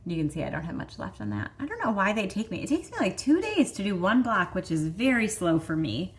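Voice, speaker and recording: A woman's voice: medium pitch, sing-song, fairly quick, a little hiss or hum. Chatty woman with a Michigan accent. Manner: chatty, rambling, many fillers. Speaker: vlog host. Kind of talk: casual chat.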